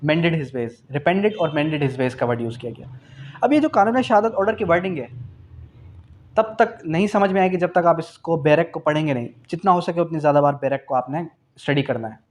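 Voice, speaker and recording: Higher-pitched Pakistani man lecturing. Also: lively intonation, moderate pace, a little mellow. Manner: didactic, asks and answers own questions; repeats key phrases for emphasis. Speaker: law teacher. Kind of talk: exam revision lecture.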